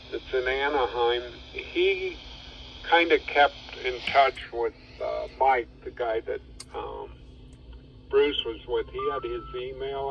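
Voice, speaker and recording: A man chatting casually over ham radio in a halting, rambling, matter-of-fact way. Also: medium pitch. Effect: two-way radio transmission. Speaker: amateur radio operator. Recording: dull two-way radio signal, faint noise.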